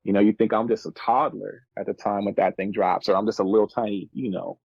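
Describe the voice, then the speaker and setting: A man's voice: on the low side, fairly even intonation, a little fast, creaky, no echo, dull audio. A man in his thirties telling stories casually as a musician guest on a podcast, in laid-back, slangy, earnest and reflective speech. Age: 30s.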